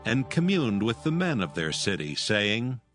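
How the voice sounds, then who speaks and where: A man reading scripted scripture narration in a measured, solemn manner. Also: fairly low pitch, very animated, a bit bright, faint music behind.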